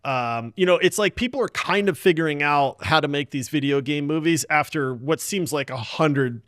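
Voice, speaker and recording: A man hosting a casual gaming podcast with excitable, hyped-up banter. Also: a little high, normal speed, dry recording, studio quality. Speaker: podcast host.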